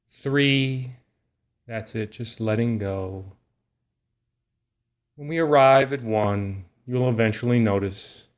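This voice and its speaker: Slow, low-pitched man guiding meditation. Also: slight background noise. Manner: soothing, hushed lulling delivery with long pauses. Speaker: guided meditation narrator. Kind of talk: guided relaxation meditation, scripted.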